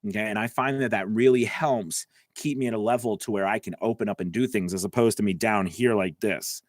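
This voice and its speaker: A man's voice: lowish voice, a little fast, no echo. A man coaching voice actors on a live stream, answering viewer comments in a casual, chatty way from his home studio. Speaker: voice-over coach.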